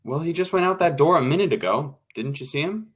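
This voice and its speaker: A man's voice: on the high side, animated, brisk, slightly rough. A man playing a wry, bossy radio show colleague in an old-time radio drama, with a dry, casual, take-charge manner. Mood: matter-of-fact.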